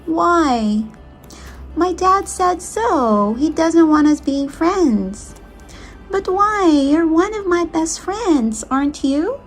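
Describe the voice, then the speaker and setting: Storybook boy, played by a woman: much higher pitch, earnest, exclaiming, childlike. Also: lively intonation, takes it slowly, soft background music, full character voice. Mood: pleading, upset. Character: young boys at play, excitable and earnest. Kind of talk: storybook read-aloud.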